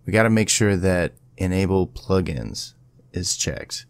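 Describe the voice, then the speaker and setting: A man presenting a casual step-by-step software tutorial in an informal, instructional way. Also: deep voice, expressive, unhurried, vocal fry, dry recording.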